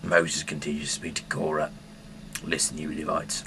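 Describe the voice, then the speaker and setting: A man reads aloud informally, rambling in a halting, bumbling, irreverent way, in an amateur home recording. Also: extremely low pitch, flat delivery, vocal fry, noisy background. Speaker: amateur reader of scripture, self-styled rock singer.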